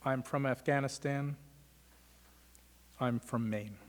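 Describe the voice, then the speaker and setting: A man's voice: medium pitch, fairly even intonation. Man giving remarks in a reverberant hall. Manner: measured, with frequent "uh" fillers. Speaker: law school host introducing a lecture. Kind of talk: formal welcoming remarks. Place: lecture hall.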